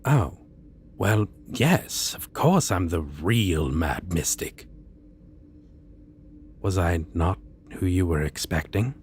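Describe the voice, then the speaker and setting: A man's voice: sits low, animated, slow pace, long pauses, slight background noise. A man playing a theatrical, suave and condescending costumed supervillain in an ASMR roleplay. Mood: incredulous. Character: theatrical, stately, amused supervillain in costume interviewing a minion.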